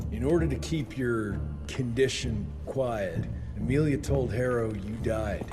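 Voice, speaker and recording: Western game hero: male actor as blunt, profane cowboy agent, gruff attitude, mid-pitched voice, music underneath. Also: close to an everyday voice. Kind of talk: video game cutscene acting.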